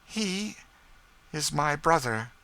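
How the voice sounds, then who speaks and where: A man's voice: on the high side, animated, slow pace, creaky, bright, forceful tone, pitched above the performer's own voice, heavily acted. A man voicing a proud, emotional woman in an audiobook, speaking with eager, earnest urgency. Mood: overcome. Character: proud emotional refined woman, eager for news of lost brother. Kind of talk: audiobook character dialogue.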